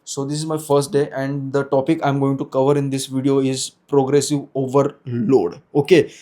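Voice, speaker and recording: A man's voice: mid-range voice, little pitch movement, moderate pace, warm tone. Hesitant young man with an Indian accent. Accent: Indian English. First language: Hindi. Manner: hesitant, frequent restarts and self-corrections. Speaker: fitness vlogger. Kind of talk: unscripted talk to camera. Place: small room indoors.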